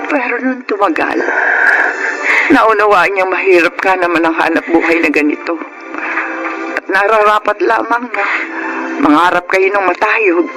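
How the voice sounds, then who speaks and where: A woman's voice: mid-range voice, moderate pace, long pauses, close to an everyday voice, poor audio. An actress with a Filipino accent playing a frank, forgiving older widowed mother in an emotional radio drama. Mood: solemn, gentle. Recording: loud dramatic music bed. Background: loud music.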